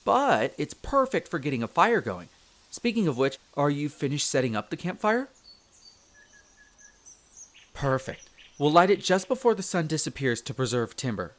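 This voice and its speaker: A man playing a friendly, chatty elf explorer in a roleplay audio drama, explaining things in an easygoing, conversational way to the listener. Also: a little high. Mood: upbeat, instructive. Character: friendly chatty male elf explorer, eager magic mentor.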